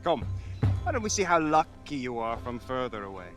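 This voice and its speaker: A man's voice: mid-range voice, big pitch swings, brisk, music in the background, close to an everyday voice. A man playing a young, proud and protective Norse warrior brother, full of banter, in a video game cutscene. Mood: proud teasing.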